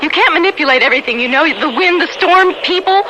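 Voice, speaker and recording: A woman's voice: sits high, expressive, quick pace, lo-fi sound, noisy background. A woman playing a wry, capable aunt who narrates a radio adventure drama. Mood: wry, firm. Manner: wry, authoritative.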